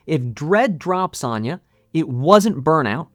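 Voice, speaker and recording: A man coaching to camera in a motivational explaining style, driving points home with emphatic repetition and deliberate pauses. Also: fairly high pitch, animated, fairly bright tone, no room sound, studio quality. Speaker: music coach and channel host.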